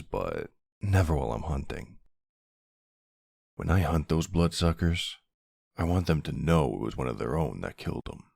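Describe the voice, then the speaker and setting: Brooding ancient vampire hunter, played by a man, gravelly and slow, close dry sound, deliberate ASMR roleplay delivery. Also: a bass voice, darkish tone, dry recording, heavily acted. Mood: grim, menacing. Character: brooding centuries-old male vampire who hunts vampires. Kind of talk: ASMR audio roleplay, scripted dramatic monologue.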